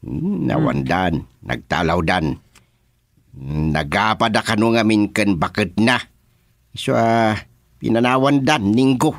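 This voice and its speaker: A man's voice: lowish voice, lively intonation, slow pace, gravelly, dry recording, clean studio sound, full character voice. A man with an Ilocano Filipino accent playing an earnest, easily astonished village chairman and husband in a radio comedy drama. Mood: explaining.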